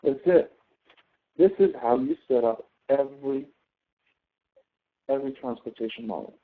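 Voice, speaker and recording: A man's voice: medium pitch, moderate pace. A man teaches through a thin, telephone-like connection. He explains a spreadsheet procedure step by step, as a tutor would, and often checks that the learner is keeping up. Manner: explanatory, often checks understanding with tag questions. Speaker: instructor, tutor. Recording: narrow tinny phone-like line.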